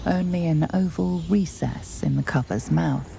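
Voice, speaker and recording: A woman voicing a grave, mysterious storyteller, narrating a dark fantasy video game in a measured, ominous way. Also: low-pitched, gravelly, slight background noise, exaggerated voice. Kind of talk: scripted second-person narration. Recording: game music behind the voice.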